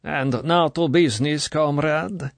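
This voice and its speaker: A man's voice: fairly high pitch, lively intonation, slow, no echo, voice pushed up, full character voice. A man voicing a sugary, menacing middle-aged female Soviet colonel, in an audiobook. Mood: probing. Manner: falsely sweet, smooth, then cold and commanding.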